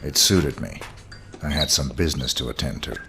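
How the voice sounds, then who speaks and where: An actor playing a cold, laconic professional hitman in a game cutscene, talking in a terse, deadpan way. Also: extremely low pitch, normal speed, creaky, very noisy, exaggerated voice. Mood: detached, businesslike. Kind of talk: cinematic game cutscene acting.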